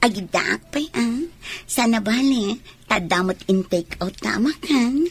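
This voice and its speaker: A woman voices a sweet-talking lover in a homemade radio comedy. The voice is husky and slow, and it swings widely up and down as she draws out each endearment. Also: on the high side, animated, heavily acted. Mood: coaxing. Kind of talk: comic radio drama acting.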